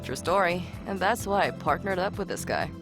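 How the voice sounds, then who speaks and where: Female spaceship engineer, visual novel game, breezy, confident, animated pitch swings, music clearly behind. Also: a little fast. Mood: breezy, friendly.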